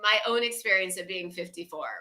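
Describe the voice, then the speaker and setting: A woman's voice: medium pitch, brisk, sharp tone. A woman in her fifties co-hosting a wellness livestream, talking in a casual, effusive way and laughing often. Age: 50s.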